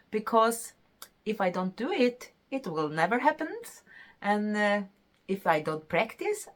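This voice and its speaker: Slow, hesitant Norwegian woman in her fifties. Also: medium pitch, a bit bright. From Norway. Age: 50s. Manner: hesitant, many fillers, laughs between phrases. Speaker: podcast host. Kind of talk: casual chat.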